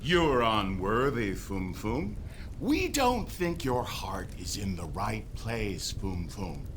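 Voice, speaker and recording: An actor playing a grumpy, scheming magical creature in a family audio drama, sneering and mocking. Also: male voice, lowish voice, huge pitch swings, slow pace, fairly bright tone, slight background noise, full character voice. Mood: bitter, mocking.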